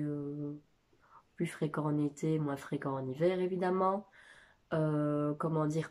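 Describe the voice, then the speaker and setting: Casual stuffy-nosed woman, lowish warm voice, hesitant plant chat at home. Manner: hesitant, many filler sounds. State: nasal from a cold. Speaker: plant vlogger. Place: home living room.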